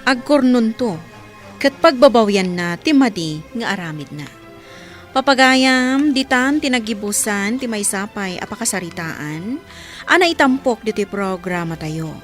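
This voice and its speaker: A woman's voice: on the high side, expressive, unhurried, soft background music. A woman with an Ilocano accent reading scripted narration for a radio drama.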